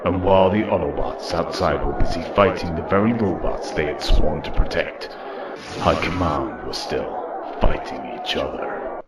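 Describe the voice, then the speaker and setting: A man's voice: very deep voice, moderate pace, very noisy. A man playing a weary, solemn robot leader who narrates an audio drama in a grave, reflective manner.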